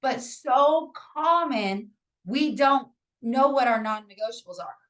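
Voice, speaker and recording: Woman, forties, raspy and hoarse; upbeat encouraging podcast host, fairly high-pitched. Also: lively intonation, measured pace, a bit bright. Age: 40s. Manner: upbeat, encouraging, rambling with fillers. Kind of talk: casual podcast monologue.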